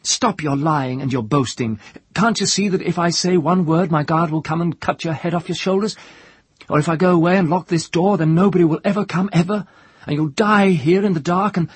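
A husky-voiced man voicing a fierce, scornful young priestess in an audiobook reading, her lines shrill and threatening. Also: no echo. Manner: shrill, threatening, rising to a rant.

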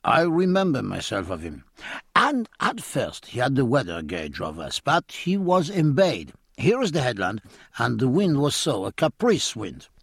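A flamboyant, effusive naval captain gushes, as a male narrator voices him. The voice sits high and swoops widely, with a gravelly edge. It is close and dry, with no room sound. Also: a little slow, no room sound, heavily acted. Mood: admiring. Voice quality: raised pitch, lively and flamboyant. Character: effusive French naval captain, middle-aged man.

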